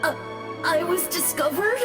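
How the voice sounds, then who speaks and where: A woman's voice: very high voice, animated, a little slow. A woman voicing a shy, lost little boy ghost in a video game, meek, hesitant and earnest. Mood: sheepish. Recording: music behind the voice.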